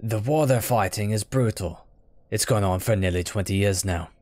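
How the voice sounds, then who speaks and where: A low, stern god, voiced by a man who speaks with formal, accusing logic. The audio drama voice is matter-of-fact and closely, dryly recorded. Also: moderate pace, darkish tone, no room sound, lightly acted. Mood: stern, factual.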